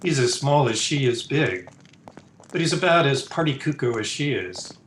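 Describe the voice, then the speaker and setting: In a roomy, echoing space with some background noise, a man plays a sardonic young fiancé from an old radio drama. He gripes and wisecracks in slangy talk at a middling pitch. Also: animated, normal speed, fairly bright tone, lightly acted. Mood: sardonic griping. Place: indoor room, recorded over a video call. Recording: roomy echo, noisy home video-call recording.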